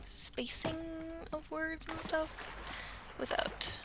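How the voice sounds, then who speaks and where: A woman's voice: high voice, steady tone, takes it slowly, heavy background noise. A woman whispering as she plays a game, reading in-game messages aloud with hesitant asides. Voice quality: whispery and creaky. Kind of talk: soft whispered game playthrough.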